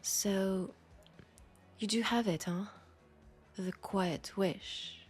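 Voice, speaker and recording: Woman acting a breathy, slow-spoken French-accented vampire. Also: medium pitch, steady tone, faint music behind. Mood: grave, melancholic. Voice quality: breathy, soft, slipping into whispers. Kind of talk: intimate audio roleplay addressed to the listener.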